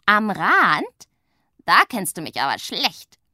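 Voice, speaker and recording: A woman voicing a loud, bossy and mischievous witch who talks in a gruff, scolding way, with a breathy voice. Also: mid-range voice, big pitch swings, normal speed, dry recording, clean studio sound. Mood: rowdy.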